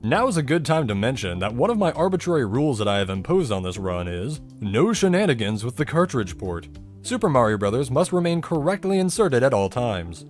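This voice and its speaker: A man narrates a scripted technical explainer about a video game. His pitch moves up and down a lot as he lays things out step by step, with dry humor in the delivery. Music plays along with the voice. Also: sing-song, a little fast.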